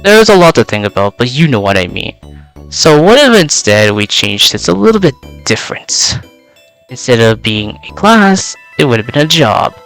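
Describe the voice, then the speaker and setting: A man's voice: medium pitch, big pitch swings, normal speed, a bit bright, faint music behind, low-quality recording. A young man, a native Vietnamese speaker, gives a casual in-character self-introduction as a VTuber. He rambles with many filler words, and his voice is slightly worn out.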